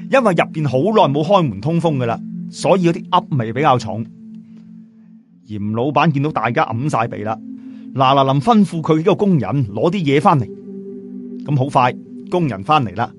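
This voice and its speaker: Male Cantonese-accented storyteller, animated and colloquial, swooping intonation, music underneath. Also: a little high, normal speed. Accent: Cantonese, southern Chinese. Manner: colloquial, expressive traditional storyteller delivery. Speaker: audiobook storyteller. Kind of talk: animated scripted storytelling narration. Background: background music.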